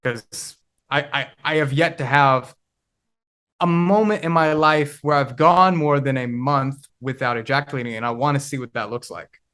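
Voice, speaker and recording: A man in his twenties speaking as a guest on a podcast. He talks in a reflective, halting manner and often pauses to find the right word. His voice has an ordinary middle pitch. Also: a bit bright. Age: 20s. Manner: reflective, halting, searching for words. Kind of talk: casual conversation.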